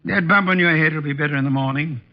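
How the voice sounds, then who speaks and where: An actor playing a pompous, imperious, brilliant large detective in a theatrical old radio style. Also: male voice, on the high side, quick pace, natural voice. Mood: smug, musing. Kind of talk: 1940s-50s radio acting. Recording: muffled old radio recording.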